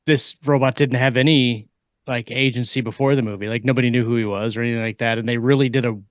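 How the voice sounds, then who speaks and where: A man in a casual podcast discussion, halting and emphatic, repeating words as he explains his point. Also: mid-range voice, steady tone, dry recording, clean studio sound. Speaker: podcast panelist.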